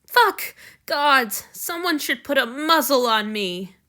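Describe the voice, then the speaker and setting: A woman doing a weepy young man whose voice jumps high and loud through tears. he is impulsive and keeps blaming himself, in a bright, sharp storybook read. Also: expressive, takes it slowly, full character voice. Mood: tearful, frantic. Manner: sobbing, high-pitched outbursts. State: crying.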